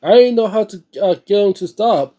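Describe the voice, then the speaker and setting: High-pitched, mellow-voiced man reading aloud. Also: fairly quick. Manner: stumbles over words while reading, informal interjections. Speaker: host reading a book aloud and reacting. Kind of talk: read-aloud narration with casual, off-the-cuff reactions.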